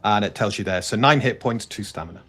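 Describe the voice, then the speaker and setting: A man with a fairly low voice runs a tabletop game. He improvises scene narration and talks players through the rules, with faint music underneath. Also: fast talker, a bit bright. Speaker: game master.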